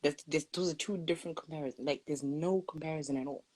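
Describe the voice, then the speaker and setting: A young woman from Zimbabwe in casual conversation, telling her own experiences with lively storytelling and quoted voices. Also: deep voice, quick pace. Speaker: guest sharing experiences.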